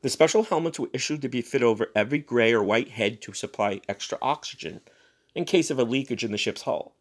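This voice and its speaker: A man reading a short story aloud in a dramatic, expressive, theatrical narrating style. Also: medium pitch, fairly quick. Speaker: story reader.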